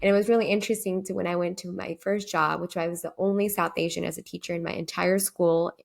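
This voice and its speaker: A woman's voice: on the low side, steady tone, fast, vocal fry. A young woman whose first language is Urdu, co-hosting a podcast in a chatty, reflective way with lots of fillers. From United States. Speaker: podcast co-host. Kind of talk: casual chat.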